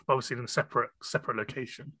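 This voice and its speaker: A man, hosting a podcast and telling stories in a casual, animated way. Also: mid-range voice, racing, husky, no room sound. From United Kingdom. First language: Cantonese. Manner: animated storyteller, frequent restarts and laughs. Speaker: podcast host. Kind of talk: casual conversational interview.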